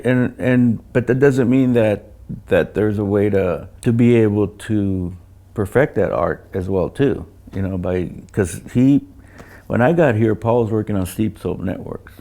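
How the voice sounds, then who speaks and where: Older man, low-pitched and gravelly. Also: warm tone. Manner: halting, repeats words, anecdotal. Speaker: loudspeaker designer. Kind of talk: casual technical conversation.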